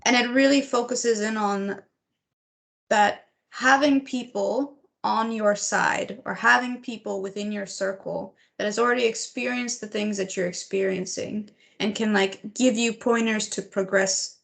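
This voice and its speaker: A woman's voice: mid-range voice, fairly even intonation, unhurried, creaky. A woman co-hosting a casual chat, thoughtful and sometimes searching for words. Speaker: podcast co-host.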